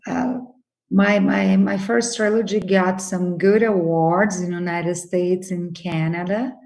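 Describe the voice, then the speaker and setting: A woman's voice: on the low side, fairly even intonation, unhurried, a little mellow. A woman in her fifties from Brazil with a Brazilian Portuguese accent, answering interview questions hesitantly with many fillers. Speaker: guest, attorney and novelist.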